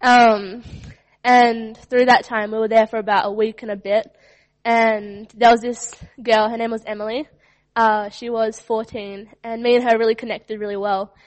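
A young woman from Western Australia gives an earnest testimony in a slightly high, flat voice. She talks in loose runs, leaning on "like" between thoughts. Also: moderate pace. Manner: earnest, fills with "like". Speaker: student sharing a testimony. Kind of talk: personal testimony.

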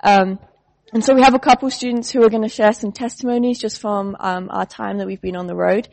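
A woman leading an outreach team, speaking casually to a church congregation with many pauses and fillers. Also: mid-range voice, gravelly, no echo. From United Kingdom. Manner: relaxed, hesitant with many fillers.

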